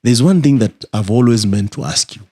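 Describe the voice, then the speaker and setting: A man's voice: mid-range voice, lively intonation, fairly quick, warm tone, breathy edge. A man hosting a podcast interview, talking casually and leading into his questions with chatty asides. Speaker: podcast host.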